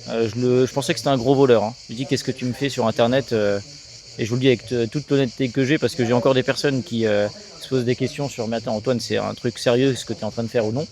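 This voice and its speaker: A man's voice: medium pitch, fairly even intonation, fast talker, darkish tone. A man in his thirties from Alsace giving a talkative, casual interview, peppered with business jargon. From Alsace, France. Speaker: sales agency co-founder being interviewed.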